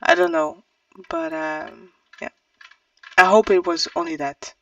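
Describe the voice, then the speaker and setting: Very creaky-voiced woman reviewing books. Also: lowish voice, very animated, measured pace, dry recording, recorded from a distance, dull audio. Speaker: book reviewer and vlogger. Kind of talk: casual book review to camera.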